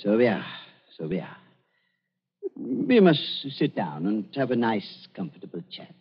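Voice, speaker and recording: An actor playing an elderly German doctor in a radio drama, fawning and falsely soft-hearted, with halting, repetitive speech and a German accent. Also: male voice, lowish voice, sing-song, breathy edge, heavily acted. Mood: oily, genial. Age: older. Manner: fawning, halting, repeats words. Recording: dull lo-fi radio recording.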